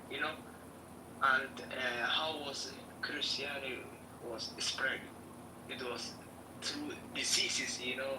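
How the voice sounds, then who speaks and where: A man from Somalia speaking in halting, hesitant English, with many fillers and restarts, in a casual chat in an online audio room. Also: medium pitch. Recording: roomy, distant, noisy online audio.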